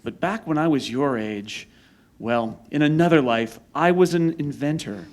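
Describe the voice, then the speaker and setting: A man's voice: a little high, unhurried, a little mellow, close to an everyday voice. A man playing a kindly, wry adult scoutmaster in a retro space radio serial parody. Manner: avuncular, wry.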